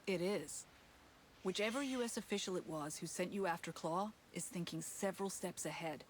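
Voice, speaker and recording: Woman playing a nervous insider informant in a game, slightly low voice, earnest, faint hiss behind. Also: normal speed, close to an everyday voice. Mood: guarded, serious. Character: guarded, anxious tech company insider turned informant. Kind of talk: cinematic game acting.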